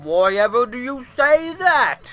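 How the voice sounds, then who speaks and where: A boastful eyeball monster, put on by a person reading a storybook, growling and menacing. Its words are stretched out and bombastic, pitched up and forceful, over music. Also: very animated. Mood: eerie, taunting. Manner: drawn-out, growling, bombastic exclamations.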